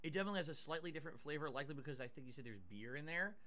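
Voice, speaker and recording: A man's voice: a little high, rapid-fire, bright, forceful tone. A man streaming live, chatting casually as he eats and reads out viewer tips and thanks. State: mouth burned, nasal drip. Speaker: livestreamer.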